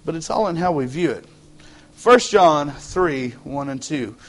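A Texan pastor preaching to his church in a relaxed, joking way. He talks with a slightly high voice, loose and folksy, often restarting phrases as he goes. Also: unhurried, low-quality recording. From Texas. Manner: joking, folksy, repeats words as he starts phrases. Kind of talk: casual humorous sermon. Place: church sanctuary.

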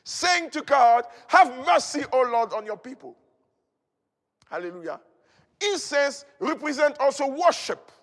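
High-pitched male preacher in a reverberant hall. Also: a little slow. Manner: emphatic, declamatory, repeating key phrases. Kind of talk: fervent charismatic sermon.

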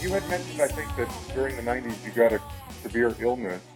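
A man's voice: medium pitch, expressive, quick pace, loud music behind the voice. A man hosting a podcast, asking questions in a casual, conversational interview style.

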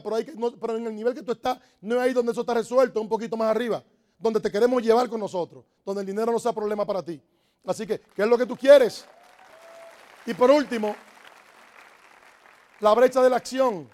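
A man with a strikingly high voice rattles through his motivational speech at a fast clip. He drives each point home by repeating it, pressing the crowd in an emphatic, preacher-like way. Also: a little mellow. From Dominican Republic. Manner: emphatic, repetitive, preaching-like exhortation. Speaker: network marketing motivational speaker. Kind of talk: motivational talk to a live audience.

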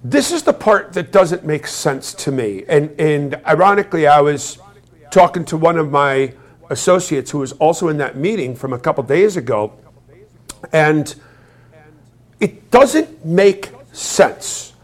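A man hosting a casual finance show, asking his guest questions. His voice sits fairly high for a man, and he often restarts his sentences and jokes as he goes. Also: expressive, unhurried. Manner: inquisitive, jokey, restarts often. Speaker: host. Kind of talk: conversational interview.

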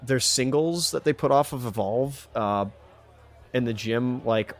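A man co-hosting a podcast in casual banter, ranting in a halting way and repeating himself with mild exclamations. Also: medium pitch. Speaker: podcast co-host.

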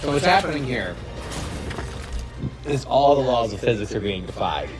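A man's voice: medium pitch, lively intonation, moderate pace, over music. A man hosting a podcast, giving casual comedic movie commentary in a profane, mock-incredulous riffing way. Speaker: podcast host.

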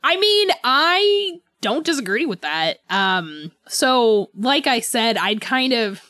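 A woman's voice: a little high, big pitch swings, slow pace, sharp tone, no echo. A woman co-hosting a podcast, chatting casually about books in an informal, easygoing way and chuckling readily. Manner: informal, chuckles easily while talking. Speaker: podcast co-host. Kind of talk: casual book discussion chat.

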